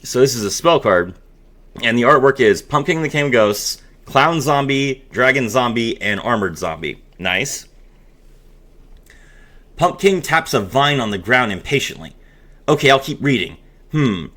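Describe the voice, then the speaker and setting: Animated man with wide-swinging pitch. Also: unhurried. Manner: animated, theatrical, emphatic. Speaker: content creator hosting a card game reaction video. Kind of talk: casual commentary mixed with dramatic reading aloud.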